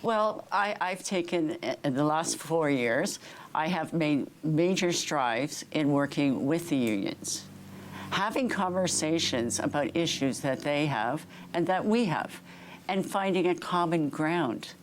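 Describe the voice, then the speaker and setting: Low-voiced woman, earnest and halting. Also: animated, slight background noise. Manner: earnest, hesitant with many fillers. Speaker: school trustee candidate. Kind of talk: candidate interview answers.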